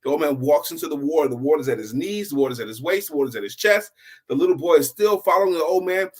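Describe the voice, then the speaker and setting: A man with a slightly high voice works as a storyteller for online listeners. He speaks deliberately and with emphasis, repeating phrases to drive the tale home. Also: expressive, husky. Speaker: storyteller and host of a story show. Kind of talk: motivational oral storytelling to online viewers.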